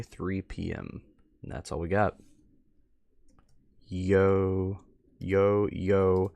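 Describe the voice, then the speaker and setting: A man doing casual live commentary as a streamer, talking in a slangy, excitable way with mild substitute swears. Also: fairly low pitch, no echo, studio quality. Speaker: streamer reacting to videos.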